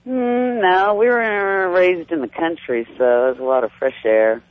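A woman in her thirties from West Virginia, calling in to a radio show. She has a deep voice and a good-natured manner. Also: unhurried, no room sound. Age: 30s. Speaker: caller. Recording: phone line.

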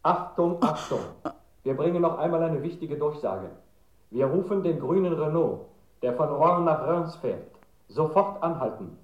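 A man's voice: a little high, lively intonation, measured pace. A radio announcer making an emphatic emergency broadcast. His raised male voice is formal and urgent, with echo and a dull, filtered radio sound. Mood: urgent, grave.

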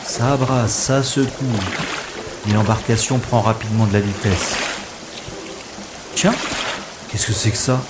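A man's voice: lowish voice, lightly acted. A man playing a sardonic special agent on the run in a French audio drama, talking half to himself. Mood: alarmed. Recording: noisy, with rushing water effects.

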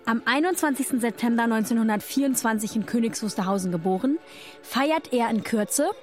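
Playful female podcast co-host, played by an actress, higher-pitched, exclaiming and chatty, light music bed. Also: fairly quick, lightly acted. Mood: admiring. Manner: playful, exclaiming, youthful slang. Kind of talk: scripted banter played as casual podcast chat.

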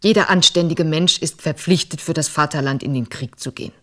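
A woman's voice: deep voice, brisk, a bit bright. An actress playing a proud, insistent middle-aged wife and mother in a radio drama, emphatic and admonishing. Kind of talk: literary radio drama acting.

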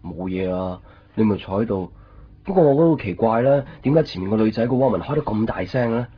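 Low-voiced, fast-talking earnest young boyfriend. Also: male voice, expressive, husky. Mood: tentative, curious. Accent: Hong Kong Cantonese. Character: earnest, curious young boyfriend, unsure about his secretive girlfriend. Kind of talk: Cantonese radio drama acting with reflective narration. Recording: muffled 1990s radio drama, background music.

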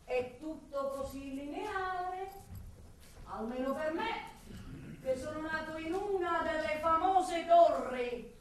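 An actress plays an unsettling, darkly ironic man confiding murderous thoughts in a radio drama. The voice is high and slow, with volatile, theatrical delivery. It sounds heavily echoed, as if from a distance. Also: a little hiss or hum. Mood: mock mourning. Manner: volatile, swinging from murmurs to shouts, drawn-out words. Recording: very echoey, distant, music behind.

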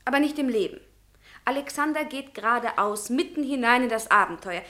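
An actress in a radio play playing an ardent, headstrong young student who speaks with passionate challenge. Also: female voice, fairly high pitch, expressive, fairly quick, bright, forceful tone, a little hiss or hum. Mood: defiant. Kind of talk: German radio drama acting.

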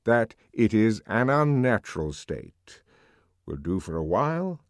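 A man's voice: on the low side, big pitch swings, measured pace, dry recording, pitched above the performer's own voice. Man acting authoritative world controller, wry, genial, lecturing, very varied intonation, dry close sound. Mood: solemn reading. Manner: genial, lecturing, drily teasing. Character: urbane, wry, authoritative male world controller, amused intellectual.

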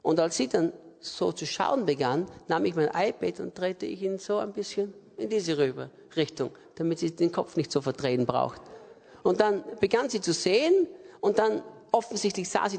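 A man's voice: sits high, big pitch swings, normal speed, vocal fry, a little mellow. A man with an Austrian accent preaching an impassioned storytelling sermon as a pastor, with an emphatic, repetitive manner. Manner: emphatic, repetitive, rising to fervent exhortation. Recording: long reverberation of a hall.

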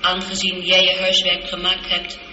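An actress playing a female spaceship computer in a radio drama, formal, measured, literal and calmly reassuring. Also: fairly low pitch. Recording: dull, noisy, echoing old radio recording.

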